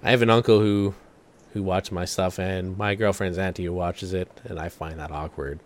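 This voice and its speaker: A man's voice: low voice, steady tone, moderate pace. A man talking casually as part of a group podcast chat. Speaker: podcast participant.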